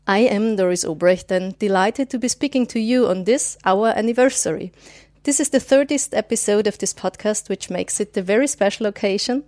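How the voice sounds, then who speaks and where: A woman's voice: mid-range voice, moderate pace, darkish tone, no room sound. A woman hosting a podcast interview, introducing her guest politely and formally. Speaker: podcast host.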